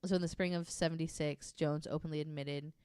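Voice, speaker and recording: A woman hosting a podcast, casually narrating a researched story from notes in an informal, halting way. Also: sits low, steady tone, a little mellow, clean tone, dry recording, clean studio sound.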